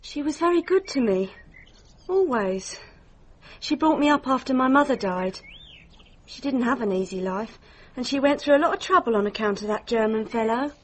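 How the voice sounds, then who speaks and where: An actress playing a young, plain-spoken housemaid in a radio drama, deferential in manner. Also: female voice, fairly high pitch, lively intonation, normal speed. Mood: sad, earnest.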